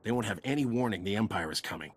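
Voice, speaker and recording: A gloomy soldier in a video game. The voice is low and very husky, with a dry, serious, dutiful manner. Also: male voice, animated, fast. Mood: grim, dutiful. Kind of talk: scripted video game cutscene acting.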